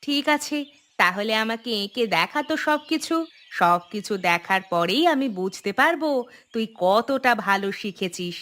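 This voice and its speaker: A woman's voice: mid-range voice, lively intonation, normal speed, fairly bright tone, lightly acted. A woman voicing an exasperated village mother in a cartoon, scolding and complaining about her mischievous children. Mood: firm, motherly. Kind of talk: Bengali cartoon voice acting.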